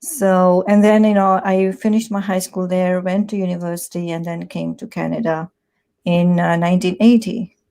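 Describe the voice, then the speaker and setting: A woman's voice: on the low side, level tone, moderate pace, gravelly, warm tone. A woman in her sixties from Tanzania, a publisher, chatting as an interview guest with many "you know"s and restarts. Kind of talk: conversational interview.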